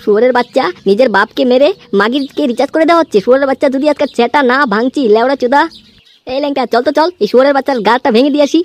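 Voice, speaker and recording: Casting: performer as ranting young village tough for crude comic cartoon, high voice, extremely rapid delivery, aggressive swagger. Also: close to an everyday voice. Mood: angry, ranting. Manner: ranting, aggressive, swearing constantly. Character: foul-mouthed hot-headed young village club tough.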